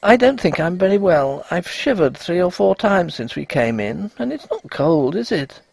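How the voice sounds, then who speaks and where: An anxious, loving young wife from a Victorian ghost story, played by a male narrator. The voice is raised high and moves freely up and down, slightly husky, with tender and refined phrasing. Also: big pitch swings, normal speed, dry recording, heavily acted. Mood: sad, uneasy. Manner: tender, earnest, refined speech. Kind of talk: storybook character voice in a gothic tale.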